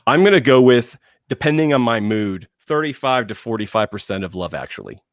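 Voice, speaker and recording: A man on a casual panel chat about films over a video call, halting, repeating himself and getting emphatic. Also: mid-range voice, sing-song, no room sound, clean studio sound. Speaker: podcast panelist.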